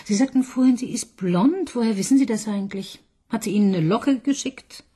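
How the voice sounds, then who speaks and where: An actress playing a shrewd police commissioner in a radio crime play, questioning sceptically. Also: female voice, on the high side, fairly quick, dark tone, breathy edge. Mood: probing. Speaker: police detective.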